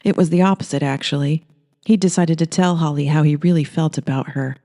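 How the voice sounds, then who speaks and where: A storyteller narrates a scripted comic horror audiobook. The voice is mellow and relaxed, sitting at a middling pitch. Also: fairly quick.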